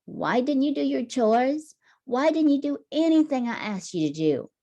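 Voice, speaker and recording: A woman voicing an exasperated mother in a picture book, scolding with sharp, insistent questions. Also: sits high, expressive, normal speed, dull audio, pitched above the performer's own voice.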